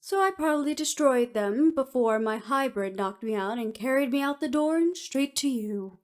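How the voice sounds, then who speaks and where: Woman acting a high-voiced, proud imprisoned expert. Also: lively intonation, moderate pace. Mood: wry, agreeable. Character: imprisoned former researcher, clever, unruffled, proud expert. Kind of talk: scripted audio roleplay acting.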